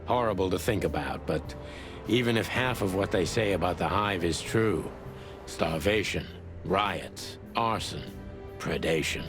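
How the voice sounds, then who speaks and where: A low-voiced, hardboiled old rooster cop for a noir video game. He is weary, dry and brooding. Loud music plays behind him. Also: a little slow. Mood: grim, cynical. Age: older. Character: world-weary old male rooster police detective, cynical noir. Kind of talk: film noir game voice acting.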